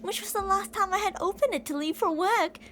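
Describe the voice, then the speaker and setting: A woman's voice: very high voice, fairly quick, a bit bright, a little hiss or hum. A woman playing an older, obsessive yandere kidnapper in an ASMR roleplay, with a theatrical, teasing sing-song manner, sweet-talking and menacing. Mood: coaxing menace.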